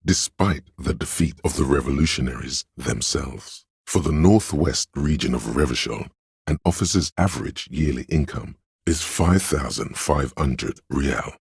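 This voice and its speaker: Casting: pedantic inner narrator for a game, husky bass, erudite and pompous, measured delivery, close dry sound. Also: male voice, no echo, full character voice. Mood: lecturing, matter-of-fact. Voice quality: very deep, gravelly, husky. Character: pedantic know-it-all inner voice, a walking encyclopedia of trivia.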